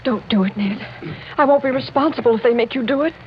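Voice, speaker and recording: A woman's voice: fairly high pitch, quick pace, a little hiss or hum, lightly acted. A woman playing an anxious young noblewoman in a 1940s radio mystery, with a tense, foreboding delivery. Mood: fearful warning. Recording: muffled 1940s radio recording.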